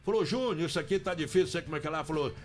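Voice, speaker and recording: A man's voice: a little high, racing. Brazilian man hosting radio over music. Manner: teasing, playful, exclamatory. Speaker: radio host. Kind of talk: live morning radio banter. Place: radio studio. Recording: music playing underneath. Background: music bed.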